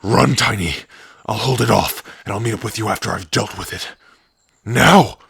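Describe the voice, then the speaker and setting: Male actor as kind-hearted orc outcast for fantasy ASMR: low, forceful, gruff and raspy, wry and protective. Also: normal speed, no room sound, heavily acted. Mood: urgent. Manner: easygoing, wry, addressing a companion directly. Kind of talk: ASMR fantasy roleplay acting.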